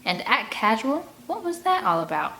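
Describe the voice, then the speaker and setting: A woman's voice: fairly high pitch, very animated, moderate pace, bright, forceful tone, a little hiss or hum, exaggerated voice, low-quality recording. A woman voicing a feisty, defensive young girl scout with an indignant manner in a storybook read-aloud.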